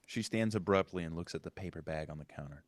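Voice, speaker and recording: Male table-read narrator, deep voice, dry sound, reads scene directions with occasional slips. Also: fast talker, dry recording. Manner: stumbles and corrects himself while reading. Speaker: narrator reading stage directions.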